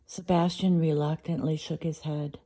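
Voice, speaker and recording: A woman reads a children's bedtime story aloud. Her voice is low and soft-edged, mellow in tone, with an expressive storytelling style. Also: moderate pace. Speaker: storybook reader.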